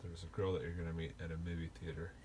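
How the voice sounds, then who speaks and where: Deep voice guy, casual joking chat, bit of room noise. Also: completely flat delivery, racing, darkish tone. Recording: noisy room ambience.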